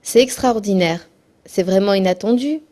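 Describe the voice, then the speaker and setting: An actress playing a schemer's anxious, complicit wife with a fretful, questioning manner, in a French-dubbed cartoon. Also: female voice, mid-range voice, moderate pace, warm tone, lightly acted. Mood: intrigued, concerned. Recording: faint noise behind.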